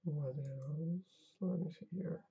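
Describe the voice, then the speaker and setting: A tired game-dev streamer, his Albanian, drifts between mumbling over his code and chatting with viewers. His voice is gravelly and unhurried. The stream audio is dull and lo-fi. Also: fairly high pitch. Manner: muttering to himself while testing, talking to chat. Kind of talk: casual live-stream chat while coding.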